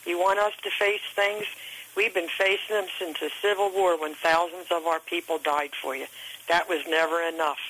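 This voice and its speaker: A woman's voice: fairly low pitch, steady tone, normal speed, a bit bright, slight background noise. An aggrieved, accusatory woman leaving a monologue on a voicemail over a telephone line.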